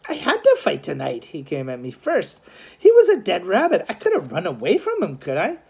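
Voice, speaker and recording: A woman's voice: on the low side, wildly swooping pitch, a little fast, gravelly, darkish tone, breathy edge, full character voice. A woman voicing a hot-headed, stubborn young street boy who protests as he speaks, in a storybook reading. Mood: defiant, protesting.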